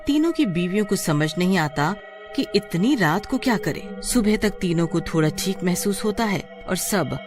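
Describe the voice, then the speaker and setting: A woman's voice: lowish voice, lively intonation, normal speed, over music. A woman reading scripted narration for a cartoon story.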